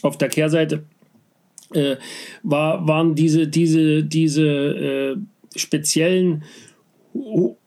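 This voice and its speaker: A man from Saxony with a slight Saxon accent, talking in a casual conversation, halting and full of restarts and fillers. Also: on the high side, steady tone, dark tone. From Saxony, Vogtland. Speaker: interviewee.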